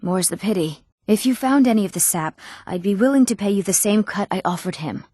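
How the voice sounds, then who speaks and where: A woman's voice: mid-range voice, animated, fairly quick, dark tone, husky, no room sound. Eager young fantasy townswoman, close and dry. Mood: matter-of-fact, earnest. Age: young adult. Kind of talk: scripted fantasy video game dialogue.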